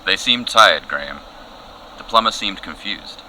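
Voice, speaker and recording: Over clear ambient noise comes a man playing a TV field reporter in a news satire. His voice is low. He is weary, factual and deadpan. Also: unhurried, full character voice. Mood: deadpan, insistent. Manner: flat-worded, factual, deadpan. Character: weary, matter-of-fact male TV field reporter. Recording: noisy outside-report ambience behind.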